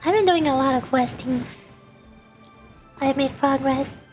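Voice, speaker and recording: A high-pitched woman streamer chatting through a game session and reading the characters' lines aloud in a steady, plain way. Music plays clearly underneath her voice. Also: a little slow.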